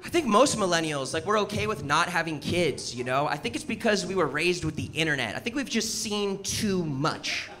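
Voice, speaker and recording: An American man in his thirties doing stand-up comedy, telling stories in a casual, animated way and acting out bits of dialogue. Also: high voice, moderate pace, hardly any pauses. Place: indoor comedy show on stage before an audience. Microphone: handheld microphone. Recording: live stage mic, loud audience noise. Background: audience laughter and noise.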